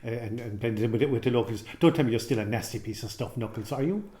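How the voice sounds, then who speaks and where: Stumbling interviewer. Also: male voice, medium pitch, fast, mellow. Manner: stumbling, repeats words. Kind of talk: casual interview.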